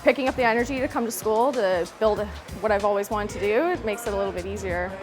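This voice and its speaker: A woman reflects thoughtfully on what she has learned and where she wants to go next. Her voice has a middle pitch. Music plays clearly behind her. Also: fairly even intonation, fast talker. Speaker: trades program student. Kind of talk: testimonial interview. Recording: music playing underneath.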